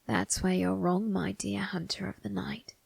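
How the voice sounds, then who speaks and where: An eerie, ageless male undertaker, acted by a woman speaks in an ASMR roleplay. The voice is husky and breathy, crackling heavily with creak. The phrasing is formal and slyly amused, needling the listener with soft tag questions. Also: mid-range voice, fairly even intonation, no echo, heavily acted. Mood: sly, teasing. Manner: slow, formal, teasing delivery with drawn-out tag questions.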